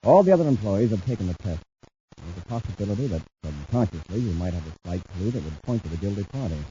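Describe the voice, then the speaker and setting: An actor playing a commanding space patrol officer, formal and clipped, in the style of a 1950s radio adventure serial. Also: male voice, deep voice, lively intonation, quick pace, warm tone. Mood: firm, measured. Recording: muffled, noisy old radio broadcast recording.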